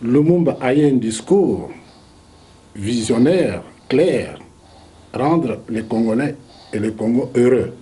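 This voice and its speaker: Male historian, measured debater, warm mellow tone. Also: mid-range voice. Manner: measured, reasoning aloud with rhetorical questions. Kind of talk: debate argument.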